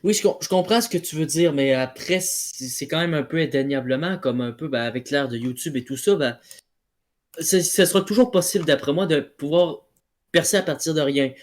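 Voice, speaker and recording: A man's voice: on the high side, fairly quick. A man in a casual debate, talking colloquially and often rephrasing himself. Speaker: debate participant.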